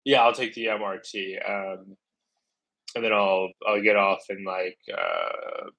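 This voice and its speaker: Rambling American travel vlogger, lowish voice. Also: male voice, expressive, slow pace, vocal fry, sharp tone, rough recording. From United States. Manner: rambling, thinking aloud with many fillers. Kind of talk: casual livestream chat.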